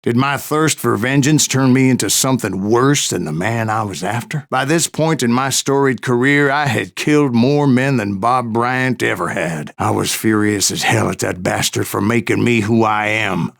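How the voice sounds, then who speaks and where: A grizzled old American bounty hunter from a Western video game. His voice is heavy with gravel and fry, sitting at a middling pitch. He spins his own story in a folksy, wry, dramatic storytelling manner. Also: moderate pace, few pauses, fairly bright tone. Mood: bitter. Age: older. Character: grizzled old Wild West bounty hunter spinning tales. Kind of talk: dramatic first-person game narration.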